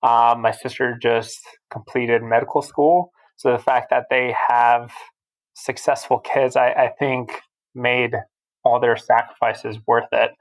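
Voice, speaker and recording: Man, 30s, from Albania, podcast guest, level flat delivery, casual chat. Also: mid-range voice, a little slow, poor audio.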